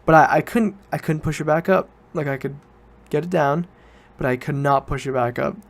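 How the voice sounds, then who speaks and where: A man's voice: a little high, moderate pace, vocal fry. A young man, student age, talking casually and informally as a solo podcast host, rambling and correcting himself as he goes. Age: teens to young adult student.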